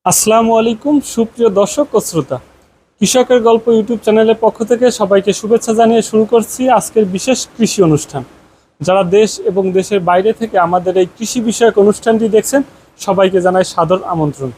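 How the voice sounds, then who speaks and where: Bangladeshi guy hosting a farm interview, pretty high voice, some noise around him, repeats stuff back. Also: a little fast, warm tone. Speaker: host of a farming programme. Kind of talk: on-location interview with a scripted-sounding welcome. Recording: noisy on-location farm recording.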